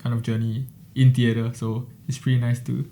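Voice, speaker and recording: A man's voice: mid-range voice, level tone, mellow. Young man hosting a casual chat. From Singapore. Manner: friendly, quick, filler-heavy. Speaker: podcast host. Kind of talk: casual interview conversation.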